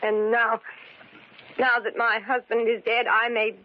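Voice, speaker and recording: An actor playing a frightened widow who is afraid of a cursed mummy, pleading and tearful in 1940s radio drama style. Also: male voice, very high voice, monotone, measured pace, dry recording. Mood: fearful. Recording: dull old radio recording with hiss.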